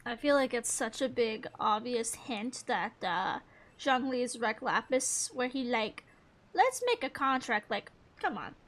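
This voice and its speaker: A woman hosting a gaming video with casual, chatty commentary, thinking out loud as she plays. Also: fairly high pitch, lively intonation, measured pace.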